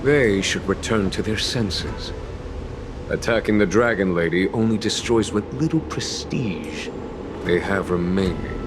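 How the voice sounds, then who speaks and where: A man voicing a calm, authoritative general in a video game, measured and formal. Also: low voice, noisy background. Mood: stern, composed. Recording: loud music behind.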